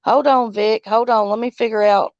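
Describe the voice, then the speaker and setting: A woman's voice: fairly high pitch, fairly even intonation, normal speed, no room sound, dull audio. A woman hosting a casual livestream chat outdoors on her phone, reading viewer comments and talking in a folksy way, calling people honey. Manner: folksy, calls listeners honey. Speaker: livestream host.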